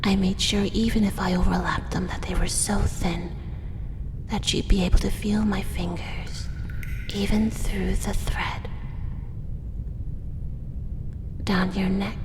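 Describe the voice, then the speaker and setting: A woman voicing a doting, possessive female drider monster in a soft, breathy, whispered ASMR roleplay, coaxing with tender menace in an echoing space. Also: little pitch movement, unhurried, close to an everyday voice. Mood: intimate, coaxing. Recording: echoey cave-like reverb, faint rumbling noise.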